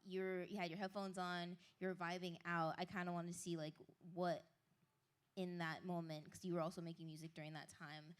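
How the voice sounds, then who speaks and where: A woman hosting a live concert stage, interviewing a guest and giving shout-outs in a chatty, upbeat way full of fillers and apologies. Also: lowish voice, flat delivery, normal speed, clean tone. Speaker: host. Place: live stage at an indoor market venue. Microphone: handheld stage mic.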